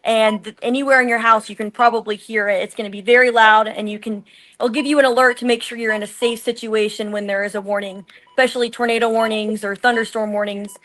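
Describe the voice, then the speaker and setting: A woman field reporter giving an informal, unscripted live report with a halting, ad-libbed delivery. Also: a little high, steady tone, a bit bright, poor audio.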